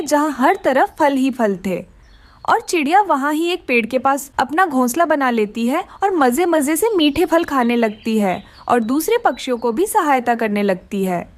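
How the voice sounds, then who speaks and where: A woman narrating a children's cartoon story with expressive storytelling. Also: high-pitched.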